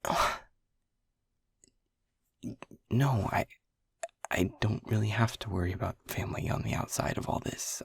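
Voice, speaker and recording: A man playing an anxious, protective young lab technician who stammers and keeps his voice to a hushed whisper. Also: on the low side, a little slow, creaky, long pauses, husky, dry recording, clean studio sound, exaggerated voice. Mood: urgent whisper. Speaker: audio roleplay voice actor. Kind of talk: audio roleplay acting.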